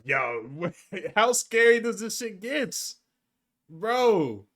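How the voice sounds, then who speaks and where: A young man hosting a reaction video, giving excitable, slangy commentary and repeating his phrases. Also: high voice, slow.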